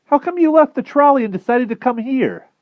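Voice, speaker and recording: A man's voice: very high-pitched, expressive, a little fast, dry recording, narrow, tinny audio, pitched above the performer's own voice. A man voicing a cheeky teenage boy who mutters and mumbles. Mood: eager.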